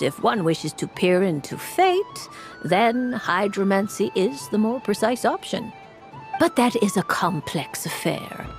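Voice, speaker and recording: A woman voicing a grand, mysterious and playful witch fortune-teller in an anime game, with formal, ornate, theatrical phrasing. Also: medium pitch. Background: music.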